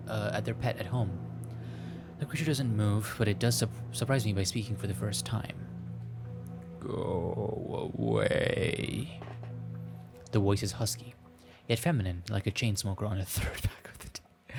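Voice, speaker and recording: A man hosting a gameplay video, reading a visual novel aloud with playful, self-mocking commentary and stumbles over the text. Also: fairly low pitch. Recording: music playing behind the voice. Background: music.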